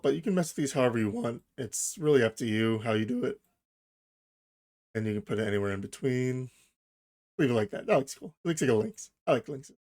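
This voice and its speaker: Casual male software tutorial host. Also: mid-range voice. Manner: informal, explains step by step while thinking aloud.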